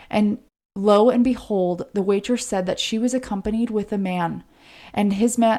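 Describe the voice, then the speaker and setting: A woman's voice: mid-range voice, creaky, mellow. A woman co-hosting a podcast, narrating true crime in an informal way with chatty asides. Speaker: podcast co-host.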